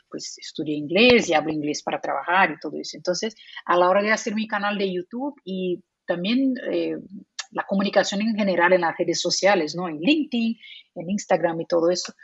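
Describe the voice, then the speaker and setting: A woman's voice: lowish voice, creaky, husky, no echo, lo-fi sound. A woman from Brazil whose first language is Portuguese, speaking Spanish with a Brazilian Portuguese accent, explaining things as a guest in a casual interview with frequent fillers and easy laughter. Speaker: guest, remote work expert.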